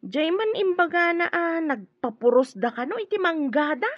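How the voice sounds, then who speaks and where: A woman's voice: very high voice, animated, moderate pace, no room sound, dull audio. An actress playing a gossipy, slightly scatterbrained, confiding woman in an Ilocano radio drama. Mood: puzzled, confiding.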